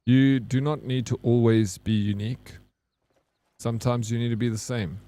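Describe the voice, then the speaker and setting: A man with a South African accent streams a game, trading jokes and teasing banter with his chat. His voice is mellow and dark, and it sits at an ordinary middle pitch. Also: fairly even intonation, moderate pace. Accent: South African English. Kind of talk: casual gameplay commentary.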